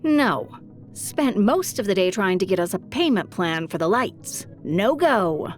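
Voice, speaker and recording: Woman acting slow-talking, sassy noir secretary. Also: mid-range voice, sing-song, soft background music. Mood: matter-of-fact. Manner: sarcastic, teasing, frank. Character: sassy, blunt female secretary of a private detective. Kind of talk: noir audio drama acting.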